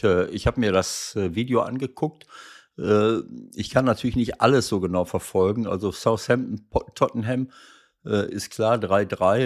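Rambling older man with a gravelly voice. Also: lowish voice, darkish tone. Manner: rambling, many hesitations. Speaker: football coach and commentator, podcast co-host. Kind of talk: casual podcast chat.

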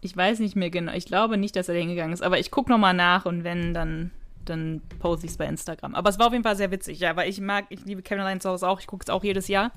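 A woman's voice: lowish voice, steady tone, fast talker. A woman co-hosting a podcast, chatting casually and telling stories in an informal, loosely strung way. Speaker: podcast co-host. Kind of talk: casual chat.

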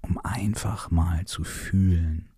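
Husky low-voiced man guiding a meditation. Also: steady tone, takes it slowly, warm tone, dry recording, clean studio sound. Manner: repetitive spoken instructions with casual swearing. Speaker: movement exercise guide. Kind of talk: guided shaking meditation.

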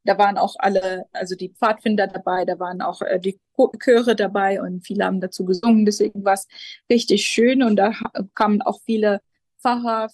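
A woman in her twenties from Jerusalem, talking as a pastor in an interview. She hesitates often and restarts her sentences while she looks for the right words. The sound is dry, with no room echo, and her voice sits at an average pitch. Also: fairly even intonation, brisk, a little mellow. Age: 20s. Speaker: Lutheran pastor, guest. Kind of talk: casual interview answers.

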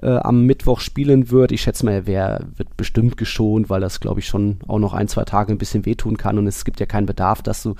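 A man's voice: lowish voice, steady tone, a little fast, creaky, hardly any pauses, dark tone, no room sound, studio quality. A man co-hosting a football podcast, giving his opinions in casual, colloquial analysis.

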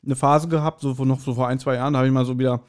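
A man's voice: medium pitch, flat delivery, racing, clear, resonant tone. A man chatting casually and teasingly as a podcast co-host.

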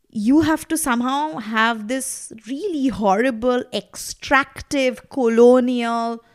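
Passionate higher-pitched woman guest. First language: Bangla. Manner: reflective, passionate, many fillers. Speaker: podcast guest. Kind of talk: casual conversation.

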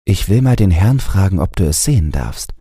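A man's voice: low voice, big pitch swings, moderate pace, mellow, husky, no echo, studio quality, voice pushed up, exaggerated voice. Man voicing a raised, plain-spoken housemaid. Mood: doubtful.

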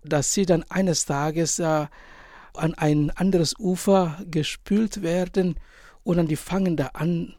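A man in his forties with an Indonesian accent tells his story in a slightly raised voice. He speaks haltingly, stopping often to hunt for words in a language that is not his own. The recording is dry, with no room sound. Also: on the high side, steady tone, dark tone, no echo. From Indonesia, island of Sumba. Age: 40s. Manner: halting, many pauses and fillers, searching for words. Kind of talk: interview answers.

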